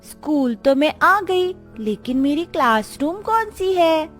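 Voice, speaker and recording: A sweet young schoolgirl played by a woman from a cartoon moral story speaks in a very high voice. She is earnest, polite and childlike, with music playing behind her. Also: sing-song, slow pace, a bit bright, heavily acted. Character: sweet, earnest poor schoolgirl, patient and easily hurt. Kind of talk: Hindi animated moral story dialogue.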